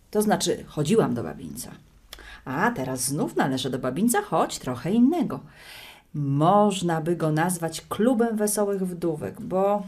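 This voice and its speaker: A woman playing a chatty, wry middle-aged widow in an audio drama, talking in a colloquial way. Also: on the low side. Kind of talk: audio drama monologue and phone acting.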